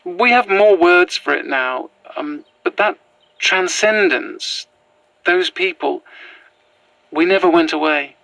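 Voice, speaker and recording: A woman playing an earnest, gentle transgender woman in a horror audio drama, speaking in a sincere, halting way. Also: fairly low pitch, lively intonation, gravelly, no room sound, exaggerated voice, poor audio. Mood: earnest, tender. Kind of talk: found-footage horror audio drama acting.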